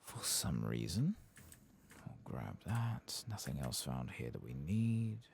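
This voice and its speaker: Very deep, creaky soft-spoken man. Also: very animated, a little hiss or hum. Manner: musing to himself, hesitant, unhurried asides. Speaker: ASMR gaming streamer narrating his play. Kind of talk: soft-spoken ASMR game commentary, reading quest text aloud.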